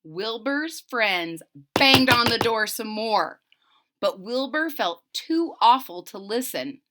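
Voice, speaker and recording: A woman storyteller reading a picture book to children, deliberate and expressive, with simple explanations. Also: fairly high pitch, very noisy. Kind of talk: expressive read-aloud with simple recaps.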